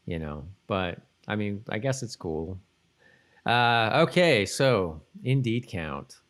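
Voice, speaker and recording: A man's voice: fairly low pitch, animated, slow, a bit bright. A man co-hosting a podcast in casual chat, talking in a rambling, self-interrupting way. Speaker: podcast co-host. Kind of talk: casual chat reviewing a TV episode.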